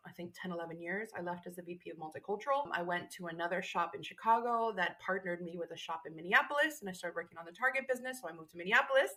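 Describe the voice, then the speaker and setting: A middle-aged woman from Brazil talks quickly in a bright, forward tone, set slightly low. She tells her story in a lively, animated way, often restarting her sentences. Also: poor audio. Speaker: guest, multicultural marketing strategist. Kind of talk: conversational interview.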